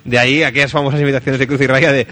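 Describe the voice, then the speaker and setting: A man's voice: mid-range voice, little pitch movement, very fast, sharp tone. A man from Spain with a Spanish accent hosting a radio show, chatting casually and jokily and reading out listener messages. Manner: jokey, colloquial. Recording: music playing behind the talk. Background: music.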